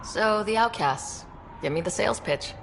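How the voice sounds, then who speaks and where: A woman's voice: mid-range voice, lively intonation, moderate pace, creaky, fairly bright tone, in a large hall, slight background noise, rough recording. A woman playing a composed young explorer hero in a video game, direct and dry in delivery. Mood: probing, dry. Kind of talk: video game cutscene acting.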